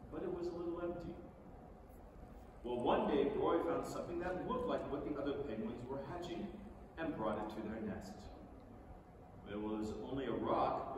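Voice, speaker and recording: A middle-aged man reading a storybook aloud on stage in an expressive, theatrical way. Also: medium pitch. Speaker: stage reader. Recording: echoey theatre from far off, noisy.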